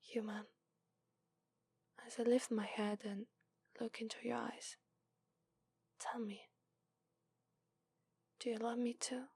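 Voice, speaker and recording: A woman whispering as a lovestruck fox spirit, breathy and Italian-accented. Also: fairly high pitch, little pitch movement, slow, long pauses, no echo, heavily acted. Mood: tender whisper. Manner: soft whispering. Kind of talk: ASMR roleplay.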